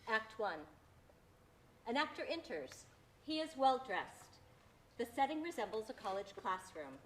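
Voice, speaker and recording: A woman reading scripted stage directions as narrator, in deliberate, measured phrases. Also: on the high side, very animated, a little hiss or hum.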